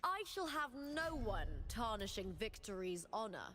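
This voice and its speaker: A woman's voice: on the high side, big pitch swings, a little slow, full character voice. An actress voicing a proud, imperious female warrior with formal, commanding battle callouts in a fantasy video game. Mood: haughty. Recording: loud game music underneath. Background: loud game music.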